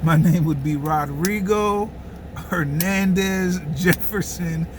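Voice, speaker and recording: A man's voice: high-pitched, takes it slowly, noisy background. A man telling a comic personal story casually, with slangy asides and phrases repeated for dramatic effect. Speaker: storyteller and host.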